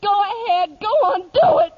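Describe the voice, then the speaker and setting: A woman's voice: very high-pitched, lively intonation, normal speed. An actress playing an emotional, fiery young frontier woman in a 1940s radio western, speaking emphatically. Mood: exasperated scolding. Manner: emphatic, scolding, repeats herself. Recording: muffled 1940s radio broadcast.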